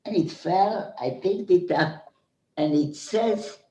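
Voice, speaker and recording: A woman in her nineties with a Hungarian accent, giving slow, halting testimony as a survivor. She often restarts phrases and checks in with "Okay?". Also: on the low side, mellow. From Hungarian-speaking Central Europe. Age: 90s. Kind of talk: slow personal recollection. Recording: roomy echo.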